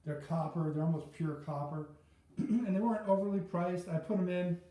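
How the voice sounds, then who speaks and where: Dark-voiced middle-aged man in echoey room. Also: sits high, steady tone, normal speed. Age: middle-aged or older. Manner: rambling, hesitant, thinking aloud. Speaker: audiophile hobbyist vlogger. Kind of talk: casual unscripted product chat.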